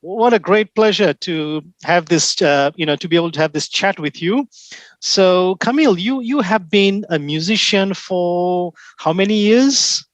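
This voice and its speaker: A man's voice: high voice, measured pace, dry recording. A man hosting an interview, asking questions and often murmuring agreement. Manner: warm encouraging listener, many backchannels. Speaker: interview host. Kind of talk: casual interview.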